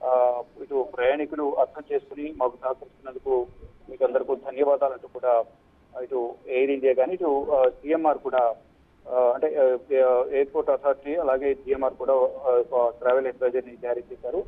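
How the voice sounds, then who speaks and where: A man giving a live news report by phone, in a halting, hesitant way. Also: medium pitch, little pitch movement. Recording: muffled narrow phone line.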